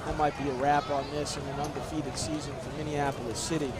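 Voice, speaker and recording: Excitable high-voiced soccer commentator. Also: male voice, quick pace. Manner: excitable, voice jumps up on big chances. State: losing his voice. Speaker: play-by-play commentator. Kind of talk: live soccer play-by-play. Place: outdoor soccer field. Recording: loud crowd and outdoor noise. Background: cheering crowd.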